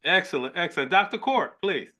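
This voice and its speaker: A man's voice: high-pitched, expressive, fairly quick, sharp tone. A man hosting an online panel, casual, upbeat and jokey, often repeating his words. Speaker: webinar host. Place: online webinar call.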